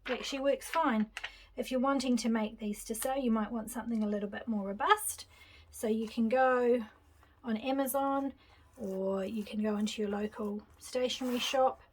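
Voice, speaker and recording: A woman hosting a casual craft tutorial, chatting and thinking aloud as she glues and assembles at her table. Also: on the high side. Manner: chatty, relaxed, thinking aloud while working. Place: craft table indoors, hands seen from overhead camera.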